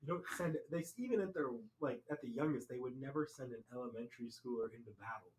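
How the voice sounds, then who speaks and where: A man's voice: medium pitch, quick pace, darkish tone, poor audio. A man with a congested, stuffy voice from being sick, speaking bluntly in a casual chat. His voice sits in the middle range. Faint noise hums behind him.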